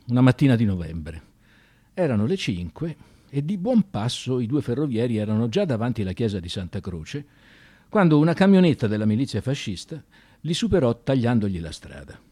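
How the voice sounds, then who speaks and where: A man narrating a dramatic scripted story in an expressive, theatrical radio-theatre manner. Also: mid-range voice.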